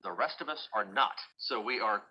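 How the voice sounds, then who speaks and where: A man's voice: fairly low pitch, normal speed, bright, forceful tone, husky, highs cut off. A man with an American accent giving live severe weather commentary as a TV forecaster.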